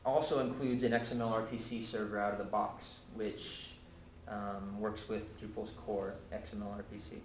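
Young male presenter, lowish voice, loose explanatory delivery full of fillers; roomy lecture hall, light hiss. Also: level tone, moderate pace, few pauses. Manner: casual, explanatory, many fillers and restarts. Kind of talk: technical meetup talk. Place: lecture room with projector. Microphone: far camera. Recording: echoey room, faint hiss, from camera.

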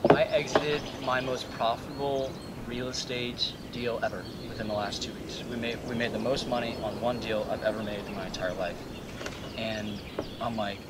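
A man's voice: medium pitch, level tone, moderate pace. A man in his thirties answering as a guest in a casual, conversational interview. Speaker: interview guest, investor and coach. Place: outdoors on paddleboards among mangroves. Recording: very noisy outdoor recording with birds. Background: loud birds and outdoor ambience.

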